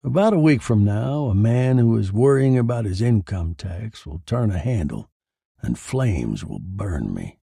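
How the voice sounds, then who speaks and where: A man's voice: on the low side, sing-song, unhurried, vocal fry, warm tone, slightly rough, no room sound, clean studio sound. A man giving a brooding, theatrical first-person narration of a scripted story.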